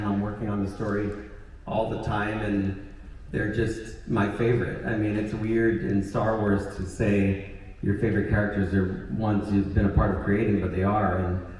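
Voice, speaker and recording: A man speaking calmly and thoughtfully on a convention panel. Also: on the low side, normal speed, a little hiss or hum. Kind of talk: panel talk. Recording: echoey hall from far off.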